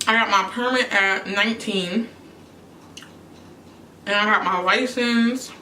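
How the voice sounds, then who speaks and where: A woman's voice: mid-range voice, steady tone, slow pace, sharp tone, slight background noise. A young woman from New York chatting casually on her eating show, answering questions between bites. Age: young adult. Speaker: YouTuber. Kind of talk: casual mukbang chat. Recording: echoey room, mic at a distance.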